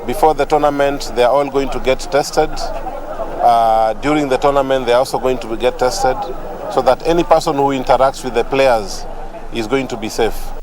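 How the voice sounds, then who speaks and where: A man, a sports federation official, speaking deliberately with frequent fillers in an interview. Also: mid-range voice. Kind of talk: interview statement. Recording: outdoor crowd noise behind.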